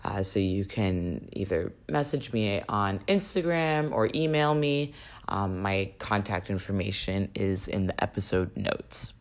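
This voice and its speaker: A woman talks alone as the host of her own podcast, her voice a little raspy. She speaks in a chatty, conversational way and often turns to her listeners with questions. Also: rumbling low, animated, unhurried. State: slightly raspy voice. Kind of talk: solo podcast monologue.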